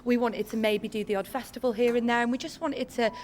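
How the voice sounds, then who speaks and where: A woman's voice: a little high, fairly even intonation, quick pace, darkish tone, a little hiss or hum. A woman who sings in a duo, answering interview questions in a gushing, eager way. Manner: gushing, eager, laughs often. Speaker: singer in a duo, award winner.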